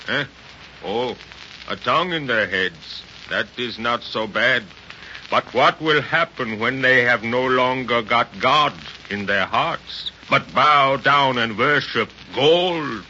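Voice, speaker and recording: An actor playing a grave, foreboding frontier landowner, solemn in manner, in 1930s radio style. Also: male voice, medium pitch, animated, sharp tone, full character voice. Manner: slow, solemn. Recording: muffled, hissy 1930s radio recording.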